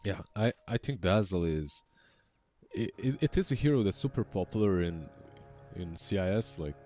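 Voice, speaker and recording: A young man casting an esports match, chatting in a relaxed way and often trailing off mid-sentence. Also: low-pitched, normal speed. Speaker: esports caster. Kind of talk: casual esports commentary.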